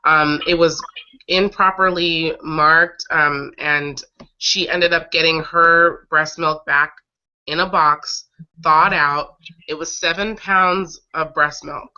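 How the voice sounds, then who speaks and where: Slightly raspy woman, bright voice, halting webcast host. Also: low-pitched, steady tone. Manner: halting, often pausing mid-sentence to regroup. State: getting over a cold. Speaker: host of an online breastfeeding advocacy show. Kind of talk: casual live webcast chat, recounting stories. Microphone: online video call. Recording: dull lo-fi webcast audio.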